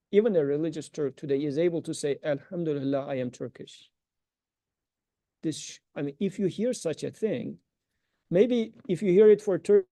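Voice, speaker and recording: A man's voice: fairly high pitch, gravelly, warm tone, dry recording, clean studio sound. A man giving an informal religious lecture, earnest and emphatic, arguing his points and posing rhetorical questions. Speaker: religious teacher giving a talk.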